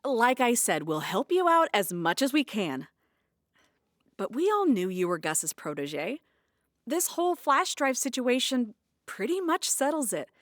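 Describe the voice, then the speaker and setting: An actress playing a practical woman bookkeeper in an audio drama, explaining things frankly with gentle sarcasm. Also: fairly high pitch, very animated, unhurried, no room sound, clean studio sound. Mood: supportive. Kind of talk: naturalistic audio drama dialogue.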